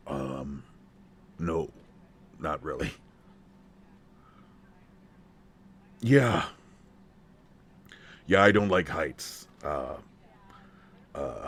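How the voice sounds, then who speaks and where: A man's voice: deep voice, slight background noise. A man playing a nervous, protective, old-fashioned country man in an intimate roleplay audio drama, speaking in a hesitant, folksy way. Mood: nervous, reassuring.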